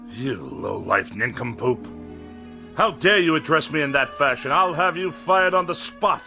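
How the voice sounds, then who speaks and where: An irascible, haughty middle-aged misanthrope for radio drama. His voice is forceful and very animated, with indignant, sarcastic bite, over faint music. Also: fairly high pitch, unhurried, full character voice. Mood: outraged.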